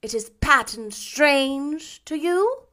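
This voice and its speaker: A woman voicing a mournful male ghost bound in chains, booming and drawn out in a storybook reading. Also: animated, heavily acted. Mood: wailing, mournful. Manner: loud, drawn-out, lamenting delivery.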